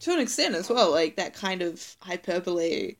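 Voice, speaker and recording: A young woman with a New Zealand accent, co-hosting a podcast in casual chat, animated and laughing often. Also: lowish voice, moderate pace. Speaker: podcast co-host.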